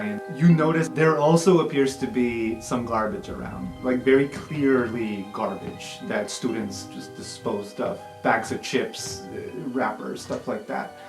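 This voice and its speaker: A man narrating an improvised tabletop game as game master in a casual, conversational way. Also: mid-range voice. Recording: roomy sound, music underneath.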